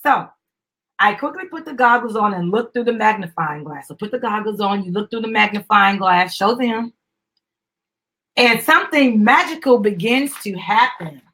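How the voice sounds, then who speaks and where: A middle-aged woman with a husky voice, reading a children's book aloud in an animated, encouraging way with explanatory asides. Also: mid-range voice, moderate pace. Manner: encouraging, instructive, addressing children and parents.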